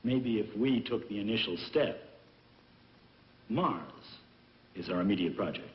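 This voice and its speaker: An actor playing an earnest, respectful space scientist in a dubbed old science fiction film, in measured formal speech. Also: male voice, on the low side, a little hiss or hum, natural voice. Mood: confident. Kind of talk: dubbed 1960s sci-fi film acting. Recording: echoey, dull old film soundtrack, music.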